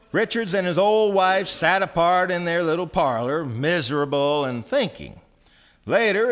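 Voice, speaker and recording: A man's voice: a little high, a bit bright. A man narrating a scripted story dramatically on a theatre stage. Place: theatre stage, live performance.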